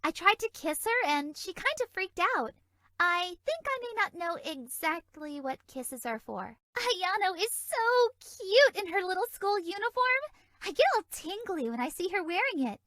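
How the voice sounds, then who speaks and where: A sweet, naive fairy for a video game. Her voice is extremely high and airy, with animated, bouncy melody. She sounds innocently flirty, in a very dry recording. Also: moderate pace, no echo, heavily acted. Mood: sheepish, confiding. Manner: bubbly, innocently suggestive, airy. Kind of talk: video game character dialogue.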